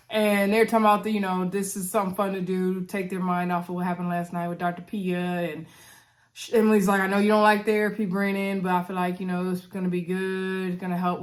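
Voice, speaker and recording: Chatty congested woman recapping a show. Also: lowish voice. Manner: chatty, animated, says "y'all" and "anywho". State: congested, coughing. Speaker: YouTube reality-show recapper. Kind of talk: casual chatty recap to viewers.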